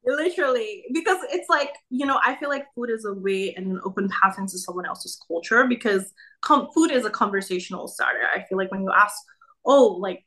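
A woman's voice: medium pitch, lively intonation, a little fast, sharp tone, rough recording. A young woman from Nigeria chatting as a podcast guest, full of "like" and "you know". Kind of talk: casual conversation.

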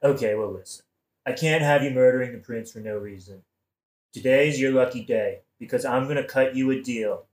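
In a roomy, echoing recording, a man acts out a scheming king for a satirical audio play. He is glib and casually murderous, coaxing in plain modern speech at a middling pitch. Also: moderate pace, darkish tone, close to an everyday voice. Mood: glib, persuasive.

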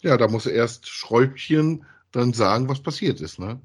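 A man with an average-pitched, slightly husky voice talks casually, as on a hobby podcast. He rambles in everyday language and often tacks little tag questions onto his phrases. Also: moderate pace. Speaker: podcast host. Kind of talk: casual conversational review of audio dramas.

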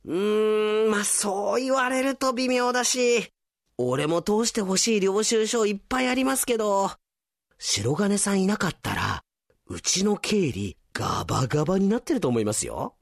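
A man's voice: high-pitched, animated, normal speed, creaky, no echo, studio quality. A man playing a casual young male office worker, slangy but earnest, in a comic radio drama. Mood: sincere. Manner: slangy, earnest junior speech.

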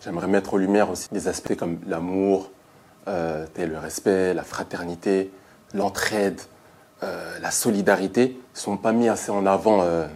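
A man in his thirties from France, a photographer speaking in a colloquial, emphatic and animated way. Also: sits low, normal speed. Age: 30s. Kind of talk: documentary interview testimony.

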